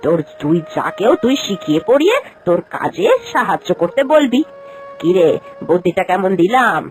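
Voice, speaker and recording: Low-voiced kindly old village aunt, acted by a woman. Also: sing-song, moderate pace, a little mellow, soft background music. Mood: earnest advising. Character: kindly, practical elderly village aunt living alone. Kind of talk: Bengali moral-story cartoon voice acting.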